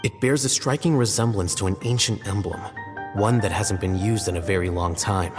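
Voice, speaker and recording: A man voicing a cool, reserved young scholar in a video game, with calm, measured and dry delivery. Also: on the low side, lightly acted. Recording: reverberant game scene with faint music.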